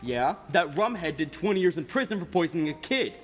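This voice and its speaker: Gruff, surly young bartender, acted by a man. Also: a little high, big pitch swings, brisk. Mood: hostile. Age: teenager. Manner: gruff, slangy. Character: surly, hostile tough-guy male bartender. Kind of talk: radio play acting. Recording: echoey hall stage recording with music.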